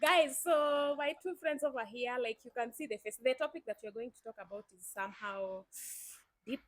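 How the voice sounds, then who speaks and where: Kenyan woman, young adult, YouTube host, chatty, slightly high voice, dry close sound. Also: fairly quick, dry recording. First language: Swahili. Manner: chatty, enthusiastic, addresses viewers directly. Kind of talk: casual chat.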